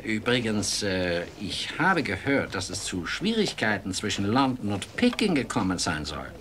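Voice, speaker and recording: A male voice with big swings of pitch, over faint ambience: a droll English reporter in an audio drama. He is businesslike and drops in English interjections. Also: sing-song, normal speed, a bit bright, a little hiss or hum. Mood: amused. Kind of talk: German radio play acting.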